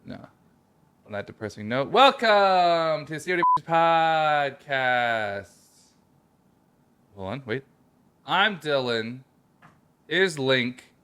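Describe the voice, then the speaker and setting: A man's voice: on the high side, sing-song, slow pace, long pauses, fairly bright tone, slight background noise. A man co-hosting a casual comedy podcast, who jokes and explains things at length. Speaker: podcast co-host. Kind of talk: casual chat. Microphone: headphones with a remote call setup.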